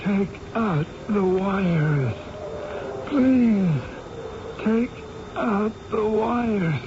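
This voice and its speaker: Radio drama casting: a half-dead, delirious professor. Weak, pleading, painfully slow, on a muffled, noisy recording. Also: male voice, high voice, lively intonation, exaggerated voice. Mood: pleading, anguished. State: weak, dazed, distressed. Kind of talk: old-time radio drama acting.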